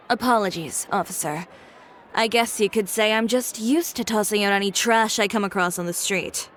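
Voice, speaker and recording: A woman voicing a haughty, sharp-tongued, unrepentant woman in a video game, with deliberate, deadpan sarcasm. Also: mid-range voice, close to an everyday voice. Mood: coolly sarcastic. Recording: faint noise and ambience.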